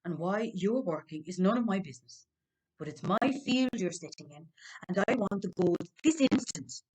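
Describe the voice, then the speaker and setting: A woman's voice: fairly low pitch, animated, normal speed, darkish tone, slightly rough, poor audio. A woman voicing a greedy, hot-tempered male farmer in a storybook, blunt and demanding. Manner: blunt, demanding, roaring when angered.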